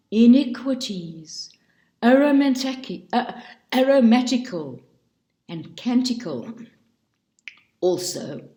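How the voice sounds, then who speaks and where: A woman reading a book aloud in a slow, deliberate and expressive way. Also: mid-range voice. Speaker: reader. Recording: roomy echo.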